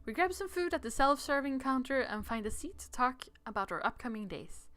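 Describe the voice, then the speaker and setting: High-pitched, giggly, animated woman. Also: a little fast, faint music behind. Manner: giggly, playful. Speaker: game player on a livestream. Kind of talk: reading game text aloud with casual commentary.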